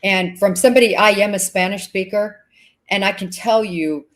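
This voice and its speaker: A woman hosting an interview, responding to her guest in a deliberate way, slowing down to stress key words. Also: mid-range voice, fairly even intonation. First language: English. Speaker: host. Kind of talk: conversational interview.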